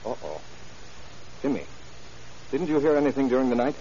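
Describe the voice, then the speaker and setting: A man's voice: medium pitch, sing-song, moderate pace, mellow, lightly acted. An actor playing a soothing, mildly skeptical hotel man who coaxes and reassures, in a vintage radio drama. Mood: coaxing, reassuring. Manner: coaxing, reassuring, talking down to a child. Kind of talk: 1930s-40s crime radio drama acting. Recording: dull old radio recording, clear hiss.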